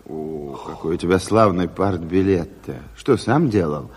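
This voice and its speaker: An actor playing a gruff, sardonic revolutionary committee chairman, blunt and folksy, in a Soviet radio drama. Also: deep voice, unhurried, a little mellow. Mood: mocking.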